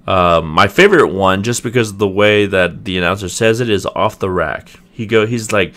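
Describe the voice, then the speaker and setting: A young man with a slightly raspy voice, casually reviewing a video game and hedging often. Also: on the low side, moderate pace, sharp tone. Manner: rambling, hedging, many ums and restarts. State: clearing throat, voice getting raspy. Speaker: gaming video host. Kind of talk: casual gameplay review commentary.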